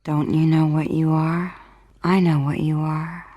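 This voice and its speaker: An actress playing a seductive, menacing female snake in a film, hushed and hypnotic. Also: sits low, flat delivery, measured pace. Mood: seductive, knowing. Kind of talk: film character acting.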